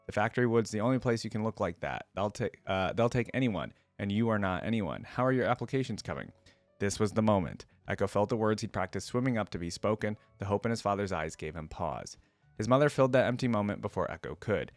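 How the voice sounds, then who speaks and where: Male reaction host, lowish voice, casual storytelling and fan commentary, dry recording. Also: expressive, fast, dry recording, soft background music. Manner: casual, slangy asides.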